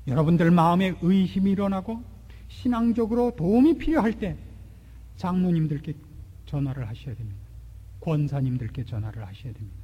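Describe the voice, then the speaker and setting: A man in his forties preaching a sermon, asking rhetorical questions and swinging between loud and hushed delivery. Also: fairly high pitch, animated, fairly quick, breathy edge, muffled recording. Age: 40s. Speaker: pastor. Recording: long hall reverb.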